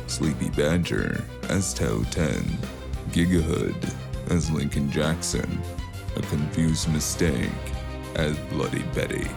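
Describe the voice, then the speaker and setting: A man with a gravelly voice reading the closing thanks and credits as host of an audio drama podcast. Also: rumbling low. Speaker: podcast host and cast member. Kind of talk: scripted podcast outro.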